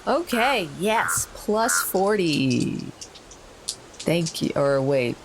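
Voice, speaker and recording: A tabletop game player talks in casual table chat with a very animated, swinging pitch. The recording is clearly noisy, with clicks. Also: extremely slow.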